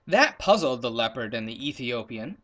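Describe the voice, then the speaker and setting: A man's voice: mid-range voice, very animated, moderate pace, fairly bright tone. A man reading a children's story aloud expressively, with playful emphasis on rhythmic lists of words.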